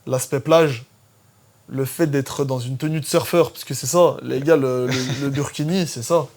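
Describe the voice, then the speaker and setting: Relaxed man hosting a podcast. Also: mid-range voice, normal speed. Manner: relaxed, explains terms for listeners. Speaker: podcast host. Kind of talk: casual podcast conversation. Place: podcast studio.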